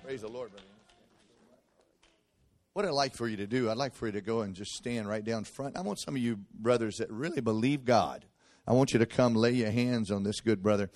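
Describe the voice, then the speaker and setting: A man's voice: medium pitch, fairly quick, darkish tone, no room sound. A man pastor leading a church congregation in fervent prayer.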